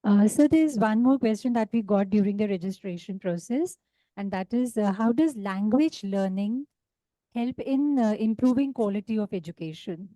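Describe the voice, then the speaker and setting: A woman's voice: medium pitch, animated, normal speed, dark tone, no echo, rough recording. A woman moderating a webinar, putting a question to the speaker politely, with some hesitation.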